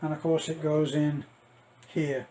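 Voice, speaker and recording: A middle-aged man giving a casual, rambling unboxing commentary, halting and thinking aloud as he goes. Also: a little high, little pitch movement, dark tone, a little hiss or hum, poor audio. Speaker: vlogger.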